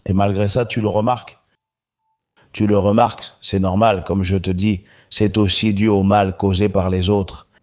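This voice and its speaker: A man from Morocco, a guest in an interview, speaking calmly and often repeating his phrases. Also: on the low side, normal speed. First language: Arabic. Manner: calm, repeats himself. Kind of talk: interview conversation.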